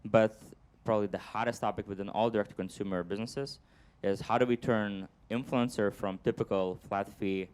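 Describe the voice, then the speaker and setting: A man's voice: lowish voice, steady tone, brisk, few pauses, a little mellow, slight background noise. A man speaking on an industry panel, upbeat and analytical. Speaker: panelist, performance marketer. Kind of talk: panel discussion answer.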